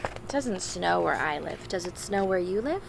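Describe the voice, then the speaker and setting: A woman's voice: lowish voice, expressive, normal speed, vocal fry, background noise. A woman reading a storybook aloud to young children, expressively, with simple chatty asides and questions. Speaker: teacher reading a picture book aloud to children.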